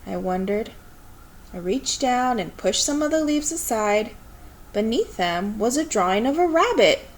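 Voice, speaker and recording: A woman reading a children's story aloud in an expressive, measured storytelling manner. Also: fairly high pitch, slight background noise. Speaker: storybook reader.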